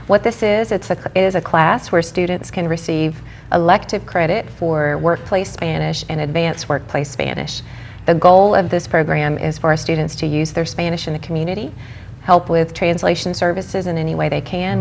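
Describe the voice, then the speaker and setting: A woman's voice: on the low side, fairly even intonation, normal speed, vocal fry, darkish tone. A woman in her forties, a program director from Mexico, answering interview questions earnestly and enthusiastically. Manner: earnest, enthusiastic, with filler ums. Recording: faint background noise.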